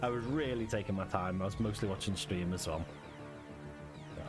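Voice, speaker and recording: Low-voiced Liverpool man streaming over music. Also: brisk. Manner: chatty, thanks chat, frequent chuckles and fillers. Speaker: game streamer. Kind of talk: relaxed live-stream chat. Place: home. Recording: clear background music.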